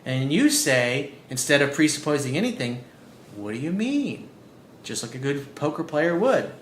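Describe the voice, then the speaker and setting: A man working as a dating coach, giving blunt, profane advice as he reads out listener emails. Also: moderate pace. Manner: blunt and profane, animated, repeats key points. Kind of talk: casual advice monologue reading out emails. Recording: roomy echo with faint low rumble.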